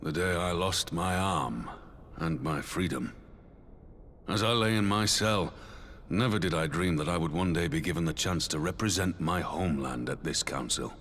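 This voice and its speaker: An actor playing a grave veteran commander in a video game cutscene, formal, measured and solemn. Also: male voice, low voice, fairly bright tone. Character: grave one-armed veteran commander of a liberated homeland. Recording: long hall reverb, faint background noise.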